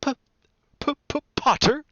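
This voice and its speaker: A man's voice: a little slow, sharp tone, no room sound, highs cut off. A man voicing a timid, pale young wizard professor who stammers heavily and mutters hesitantly in an audiobook story. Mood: anxious, stammering. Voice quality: heavy stammer on consonants.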